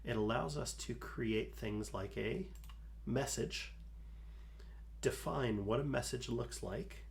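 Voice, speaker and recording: A man hosting a live coding stream, talking calmly through his code with a soothing voice and many fillers. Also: medium pitch, creaky. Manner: slow, calm, talks through code with fillers. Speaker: livestream host.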